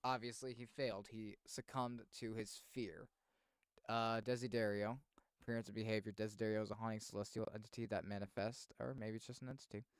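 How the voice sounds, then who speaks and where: A man streaming casual reactions and commentary, expressive and thinking aloud as he goes. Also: lowish voice, a little fast, clear, resonant tone, no room sound.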